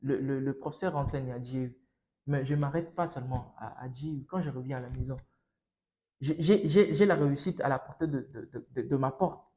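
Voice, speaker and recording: A young man from Congo with a Congolese French accent, a student guest discussing casually in an emphatic, halting, repetitive way. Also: mid-range voice, mellow. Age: young adult.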